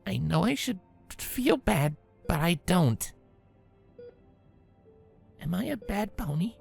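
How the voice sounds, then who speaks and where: A woman voicing an injured young female pony, distraught and blunt, with some rasp, in a fan fiction audio drama. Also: fairly low pitch, expressive, slow pace, darkish tone, soft background music, heavily acted. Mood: anguished. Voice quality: raspy.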